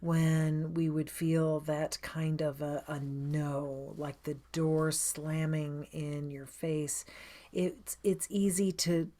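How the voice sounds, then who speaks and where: A woman with a low voice guides a meditation. She speaks very slowly and without hurry, giving gentle instructions in a soothing, inviting way. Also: level tone.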